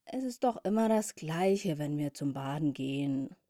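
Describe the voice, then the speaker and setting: A woman's voice: low voice, animated, measured pace, dark tone, dry recording, clean studio sound. A woman voicing a plump young female bumblebee in a children's story, sighing and plain-spoken. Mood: glum. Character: plump young female bumblebee, self-conscious but brave.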